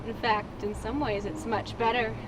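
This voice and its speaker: A woman's voice: on the high side, level tone, moderate pace, heavy background noise, close to an everyday voice. An actress playing a serene, devoted young female ghost in a web series drama. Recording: outdoors under loud music.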